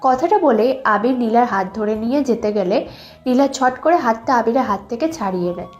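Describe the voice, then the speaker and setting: High-voiced woman narrating a romance. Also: lively intonation, normal speed, darkish tone, soft background music. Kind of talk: scripted romantic story narration.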